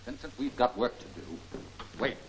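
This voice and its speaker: An actor playing a resolute, authoritative crime-fighting leader in a 1940s film serial. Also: male voice, medium pitch, lively intonation, natural voice. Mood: urgent. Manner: brisk, authoritative. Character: brisk, resolute crime-fighting hero leading his men. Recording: noisy 1940 film serial soundtrack.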